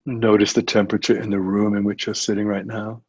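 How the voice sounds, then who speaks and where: A man leading a guided meditation as a teacher, giving gentle, unhurried instructions with long pauses. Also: on the low side, level tone, breathy edge, dry recording. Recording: muffled, dull lo-fi audio.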